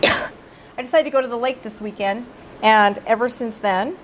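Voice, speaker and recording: A middle-aged woman who directs a child care center, giving casual live-streamed advice. She is hoarse from losing her voice and keeps checking in with viewers as "you guys.". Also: a little high, normal speed, a little hiss or hum, low-quality recording. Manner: chatty, addresses viewers as "you guys", often tags "right?".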